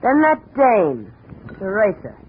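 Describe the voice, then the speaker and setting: Brassy, jaded radio dame. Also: female voice, mid-range voice, sing-song, slow. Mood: bitter. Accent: American. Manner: tough, sardonic, slangy. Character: brassy, jaded female burlesque performer, bitter scorned girlfriend. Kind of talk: 1950s radio detective acting. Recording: dull, hissy old radio recording.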